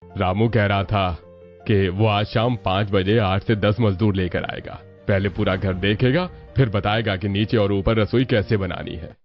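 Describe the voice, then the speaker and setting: A man's voice: lowish voice, a little slow, pitched below the performer's own voice. A man voicing a skeptical middle-aged Indian husband and father in a cartoon story. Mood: doubtful, resigned. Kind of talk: Hindi cartoon story dialogue. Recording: dull lo-fi audio with music.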